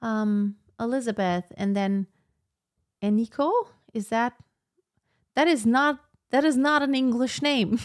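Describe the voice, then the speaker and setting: A woman's voice: a little high, darkish tone. A woman in her thirties, a vocal coach hosting a live Q&A, chatting loosely and rambling with lots of fillers and restarts as she reads out viewer comments. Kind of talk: casual live-stream chat reading viewer comments. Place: home in southern Germany.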